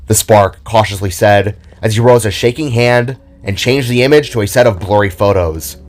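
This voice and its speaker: A man with a low voice narrates a scripted audio story. He reads descriptive prose as the narrator, with faint music underneath. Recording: background music behind the voice.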